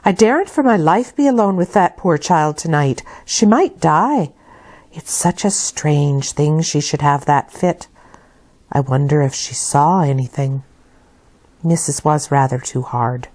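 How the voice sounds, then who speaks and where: A woman voicing a young, soft-spoken nursemaid in a storybook reading, anxious and fussing over her charge. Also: low voice, lively intonation, measured pace. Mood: fearful, confiding.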